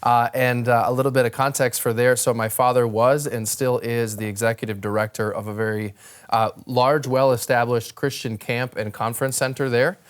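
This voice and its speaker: A man's voice: mid-range voice, flat delivery, normal speed, clean tone. A young American man from Wisconsin telling his own story as an interview guest, articulate and reflective in a conversational way. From northern Wisconsin, United States. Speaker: interview guest, ministry worker.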